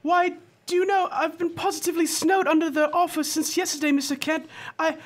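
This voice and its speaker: A woman playing a tiny, vain, chipper English gentleman in a radio serial, with plummy, fussy British speech and hesitant stammers. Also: very high voice, steady tone, brisk. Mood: proud, chatty. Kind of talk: old-time radio serial acting.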